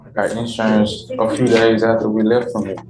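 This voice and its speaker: A man's voice: on the low side, flat delivery, brisk, low-quality recording. A man, answering a judge as a defendant in court. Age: 30s. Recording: courtroom audio under clear music.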